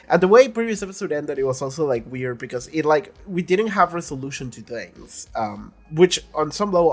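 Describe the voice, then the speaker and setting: Stuffy-nosed young guy doing reaction commentary, blunt, swears a lot, laughs a bunch. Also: fairly high pitch. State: congested. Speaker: reaction video host.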